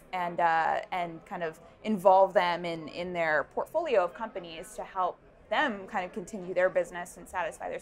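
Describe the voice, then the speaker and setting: Young female founder, lapel-miked at a busy event; thoughtful, halting delivery with frequent ums. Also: lowish voice. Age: young adult. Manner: thoughtful, many ums and restarts. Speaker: startup founder. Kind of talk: interview answers. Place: busy indoor networking event. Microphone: lapel mic.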